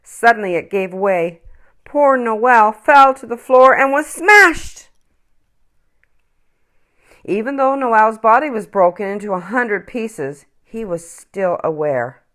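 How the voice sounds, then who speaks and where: A woman reading a children's picture book aloud as a storyteller, expressively and with dramatic pauses. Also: medium pitch, fairly bright tone.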